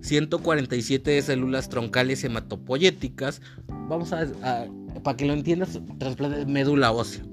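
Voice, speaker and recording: Mexican male podcast host, mid pitch, loose and chatty, guitar music under the voice. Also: moderate pace. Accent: Mexican Spanish. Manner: chatty, informal, hesitant with fillers and restarts. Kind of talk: casual solo podcast chat.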